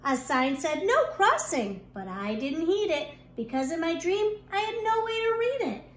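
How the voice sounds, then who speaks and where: A woman kindergarten teacher reading a rhyming picture book aloud to young children in an expressive, deliberate storytelling manner. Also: extremely high pitch. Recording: echoey room with clear background noise.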